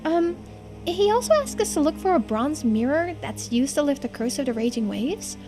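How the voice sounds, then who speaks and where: A woman's voice: sits high, very animated, moderate pace, over music. A woman acting out video game dialogue as she reads it aloud on a playthrough. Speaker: streamer voicing a game playthrough.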